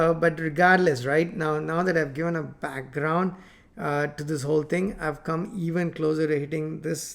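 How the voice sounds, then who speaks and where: A man in his forties whose first language is Tamil, talking unscripted to a webcam from a home office about software work, rambling with many fillers and restarts while gesturing. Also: on the high side, vocal fry. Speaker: software company founder teaching coding. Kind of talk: unscripted talk to camera. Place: home office with plant and photos on wall.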